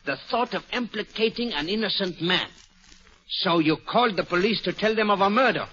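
An actor playing a fussy, clever Belgian detective with a French accent, in theatrical 1940s radio acting. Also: male voice, high-pitched, normal speed, a little hiss or hum. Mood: probing. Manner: theatrical, lively, coaxing and ironic. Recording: dull old radio broadcast.

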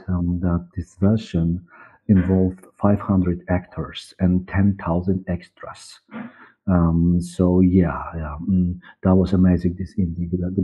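Low-voiced Polish man, muffled call. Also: breathy edge. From Poland. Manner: halting, repeats words while searching. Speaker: interview guest, audio director and actor. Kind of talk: conversational interview answers. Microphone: headset or desk mic on video call. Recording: muffled, band-limited video call audio.

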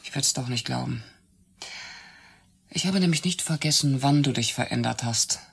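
An actress in a radio play, playing a bitter, jealous secretary who speaks in a reproachful, hesitant way. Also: female voice, extremely low pitch, creaky, slightly rough, heavily acted. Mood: accusing. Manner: sarcastic, reproachful, hesitant pauses. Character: bitter, jealous secretary and former mistress of her boss. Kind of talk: naturalistic German radio play acting.

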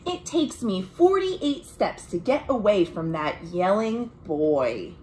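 Animated young American woman reading a storybook. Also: medium pitch, a little hiss or hum, poor audio. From United States. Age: young adult. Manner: expressive, deliberate, addressing young children. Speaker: children's librarian reading storytime. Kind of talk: animated picture-book read-aloud with friendly asides. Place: small room at home.

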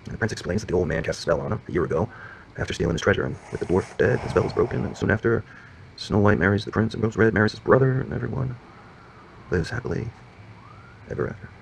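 A man's voice: fairly low pitch, quick pace, creaky, a little hiss or hum, low-quality recording. A man playing a tender, tired father who talks hesitantly and casually to his child, in naturalistic screen drama. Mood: hushed storytelling. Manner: hesitant, repeating himself.

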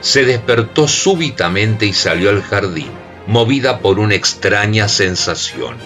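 Low-voiced dramatic radio narrator. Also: male voice, animated, fairly bright tone, faint music behind. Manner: measured, dramatic storytelling.

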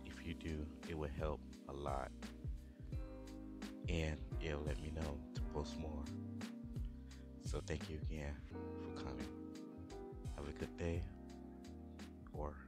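A man who makes audio roleplays, giving a low-key sign-off and thanking his listeners. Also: level tone, slow pace, pauses often, over loud music.

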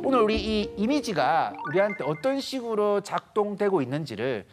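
A man in his thirties giving a lively lecture to a studio audience, acting out little quoted voices as he goes. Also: high voice, moderate pace, over music. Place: large TV studio stage with audience.

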